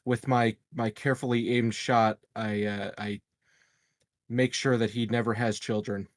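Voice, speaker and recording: A man's voice: fairly low pitch, flat delivery, unhurried, no room sound, clean studio sound. A man playing a tabletop roleplaying game, chatting casually in a jokey, mock-innocent way. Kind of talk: casual joking table chat.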